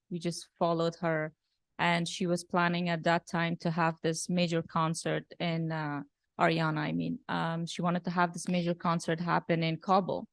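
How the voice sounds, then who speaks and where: Gravelly low-voiced woman filmmaker. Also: no echo. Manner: thoughtful, many fillers and restarts. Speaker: documentary director. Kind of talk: post-screening Q&A talk.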